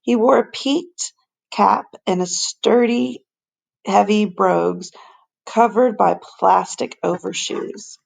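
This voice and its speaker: A woman narrates a children's storybook in a medium-pitched voice with noticeable creak. Her delivery is deliberate and expressive, like a teacher reading aloud to a class. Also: rough recording.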